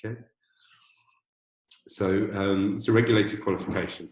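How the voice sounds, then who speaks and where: A man with a boomy voice presents a webinar on a video call from an empty borrowed office, talking hesitantly with many restarts and fillers. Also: steady tone, normal speed, a little mellow, roomy sound, poor audio. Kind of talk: informational webinar talk. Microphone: computer mic on a video call.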